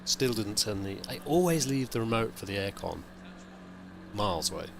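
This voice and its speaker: A man streaming a sim race, with a croaky, hungover voice, rambling and thinking aloud in casual chat. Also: fairly low pitch, animated, moderate pace, noisy background. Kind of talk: casual live-stream commentary.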